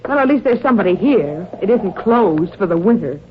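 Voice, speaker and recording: A woman's voice: mid-range voice, lively intonation, brisk, natural voice. A woman playing a sassy, uneasy young secretary, wry and plaintive, in a vintage radio mystery style. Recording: tinny, noisy old radio recording.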